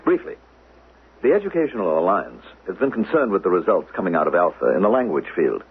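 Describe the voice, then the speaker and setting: An actor playing an officious, probing teacher and suspected spy in a 1970s radio drama, formal and needling, with a husky voice. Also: male voice, low-pitched, big pitch swings, fairly quick, full character voice. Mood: officious, insistent. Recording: narrow, phone-like old radio sound.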